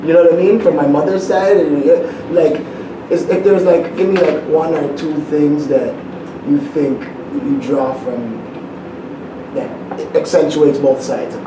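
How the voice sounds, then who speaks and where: A man's voice: fairly high pitch, fairly even intonation, measured pace. A man co-hosting a casual interview, asking long, rambling, loosely built questions. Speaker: co-host. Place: studio. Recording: roomy, distant mic, noise.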